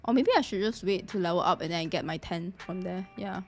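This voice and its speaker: A woman chatting casually on a live game stream, reacting excitably and repeating her phrases. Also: on the low side, a little fast, over music.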